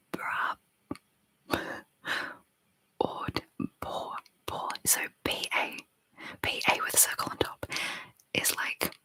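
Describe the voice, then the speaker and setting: A young woman whispering close to the microphone as an ASMR creator, reading chat in a giggly, unsure way and trying out foreign words. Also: bright, forceful tone.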